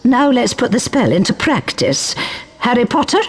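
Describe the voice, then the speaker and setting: A woman voicing a strict, brisk professor of magic, stern and precise, in a video game. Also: a little high, sing-song, husky. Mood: stern, approving. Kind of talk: video game character acting.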